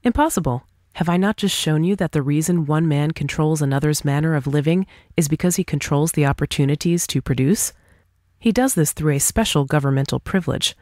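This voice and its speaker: A woman's voice: low-pitched, a little mellow, no echo, natural voice. Woman reading as confident, articulate individualist debater, close dry sound. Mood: firm, reasoning. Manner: articulate, deliberate, rhetorical questioning. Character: confident, argumentative individualist debater, patient but pointed. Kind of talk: scripted dialogue reading for an audiobook.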